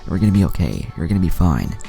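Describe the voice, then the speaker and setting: A man's voice: deep voice, sing-song, fast, vocal fry, warm tone, soft background music, voice pushed up, exaggerated voice. A man voicing a distraught, devoted male ninja teacher, urgent and pleading, close to tears, in an anime fan story.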